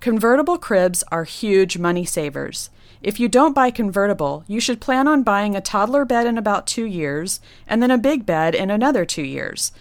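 A woman who designs nurseries, reading a prepared segment of tips in a clear, instructive way. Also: mid-range voice, animated, normal speed, dry recording. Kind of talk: scripted segment read.

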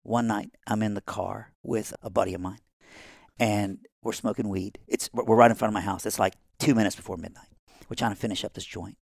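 A man with a Southern accent, originally from Iran, telling his life story on a podcast as a guest pastor in a lively way, acting out the voices of others and laughing often. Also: lowish voice, fairly quick, vocal fry, no room sound, studio quality.

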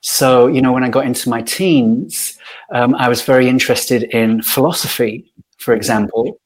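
A middle-aged man from Yorkshire, speaking as a podcast guest and sharing his life story in a thoughtful, unhurried way with many pauses. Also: medium pitch, fairly even intonation, poor audio. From Yorkshire, northern England. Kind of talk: reflective personal storytelling.

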